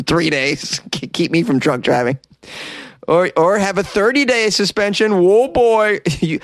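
Wry comedian host with a dry sound. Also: male voice, fairly high pitch, dry recording. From Boston, United States. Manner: joking, laughing asides, wry. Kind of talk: comedic news commentary.

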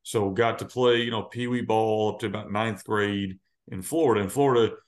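Relaxed man telling a story. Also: on the low side, moderate pace. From United States. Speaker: high school football coach, guest. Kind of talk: casual storytelling in interview.

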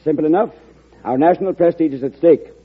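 An actor playing a self-assured, stubborn politician in a 1940s radio drama, speaking formally and firmly. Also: male voice, a little high, quick pace, slightly rough. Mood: firm resolve. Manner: declarative, formal, unbending. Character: self-assured, stubborn politician, coolly defying his party. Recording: tinny, narrow old radio recording.

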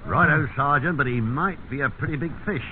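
An actor playing an observant, respectful corporal in a radio drama. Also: male voice, a little high, fairly quick, lightly acted. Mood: tentative. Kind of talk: 1950s radio acting. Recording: narrow, faintly noisy 1950s radio broadcast.

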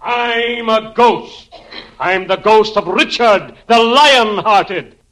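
An actor playing the wailing ghost of a medieval king in a 1940s radio comedy, with drawn-out theatrical delivery on a hissy old recording. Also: sits high, a bit bright. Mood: spooky, haughty.

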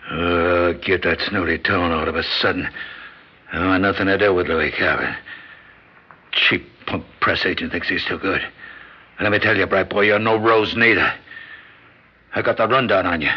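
An actor in a classic radio drama playing a dying old American gangster boss, menacing and blustering, with a gravelly, husky voice and curt, bullying street talk. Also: male voice, deep voice, normal speed, pauses often. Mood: sneering. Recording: dull lo-fi radio drama recording.

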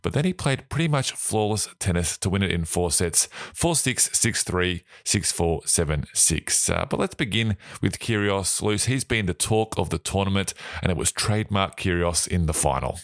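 Croaky deep-voiced man. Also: animated, normal speed, hardly any pauses, no room sound, studio quality. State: croaky voice from a sleepless night. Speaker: sports news podcast host. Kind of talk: scripted sports news chat.

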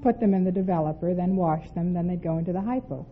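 A woman answering as a quiz show contestant, hesitant and halting, on an old radio broadcast. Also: lowish voice. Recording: dull, noisy old radio, studio audience.

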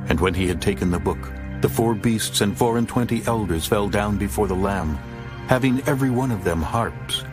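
A man narrating a dramatized scripture audiobook in a solemn, measured storytelling manner. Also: on the low side. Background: music.

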